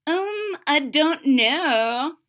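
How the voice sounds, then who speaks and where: A woman voicing a silly, clueless storybook ghoul with a growl and hesitant, drawn-out, repetitive speech. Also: sits high, lively intonation, a bit bright, pitched above the performer's own voice, exaggerated voice.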